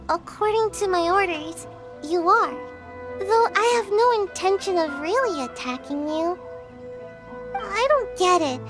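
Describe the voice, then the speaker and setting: Small evasive ninja girl for a video game: drowsy, slow-paced, lilting high voice. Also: exaggerated voice. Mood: tired, resigned. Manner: drowsy, hesitant, sighing. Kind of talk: video game character dialogue.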